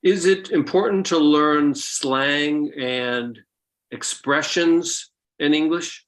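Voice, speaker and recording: A co-host who reads viewer questions aloud in a brief, plain way. The pace is slow and the pitch sits in the middle.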